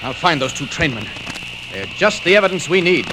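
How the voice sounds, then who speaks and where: An actor playing a determined, righteous superhero, declaiming to himself in 1940s radio drama style. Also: male voice, a little high, sing-song, a little fast. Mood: righteous anger. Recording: very noisy old radio record.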